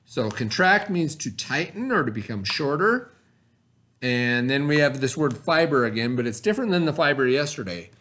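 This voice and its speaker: A man teaching by reading aloud to pupils, pausing to explain in casual asides and check that they follow. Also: medium pitch, big pitch swings, a bit bright. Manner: pauses to explain, checks in with "okay?".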